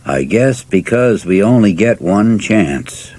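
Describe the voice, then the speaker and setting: A man voicing a gentle older father, firm and compassionate, speaking slowly and tenderly in a husky voice. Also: lowish voice, lively intonation, exaggerated voice. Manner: slow, tender, deliberate.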